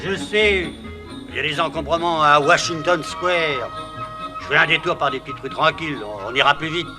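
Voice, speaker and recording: An actor playing a rough, mockingly sweet cabman and kidnapper in a colloquial, sneering way, in a 1960s French radio play. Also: fairly high pitch, moderate pace, music in the background, natural voice. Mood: falsely obliging. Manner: colloquial, falsely obliging, sneering.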